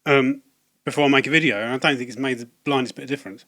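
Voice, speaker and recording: A slightly hoarse man, talking casually to camera about video game purchases, hesitant with many ums and restarts. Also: medium pitch, very fast, a bit bright. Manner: hesitant, lots of ums and restarts, wry asides. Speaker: video game collecting vlogger. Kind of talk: casual rambling vlog to camera.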